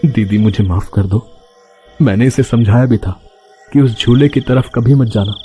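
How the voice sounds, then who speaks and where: A cautious, anxious young husband in a cartoon ghost tale, voiced by a man. His voice is medium-pitched and dark, soft-edged, over faint music. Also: normal speed, natural voice. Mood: apologetic, urgent. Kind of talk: dramatized cartoon horror story.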